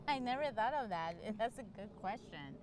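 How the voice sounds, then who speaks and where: A middle-aged woman from El Salvador giving casual, candid interview answers, thinking aloud in halting, restarted phrases. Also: medium pitch, animated, noisy background. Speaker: interview guest, law enforcement candidate for office.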